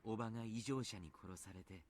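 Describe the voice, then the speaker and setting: An actor playing a gentle, courteous adult mentor with formal, sincere phrasing in a Japanese visual novel. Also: male voice, fairly low pitch, very fast, background noise, natural voice. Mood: solemn.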